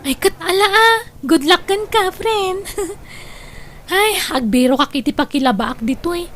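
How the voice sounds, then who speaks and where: Woman playing a high-voiced, friendly hard-up friend. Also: animated, measured pace, a bit bright, a little hiss or hum, exaggerated voice. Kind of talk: Ilocano comedy drama acting.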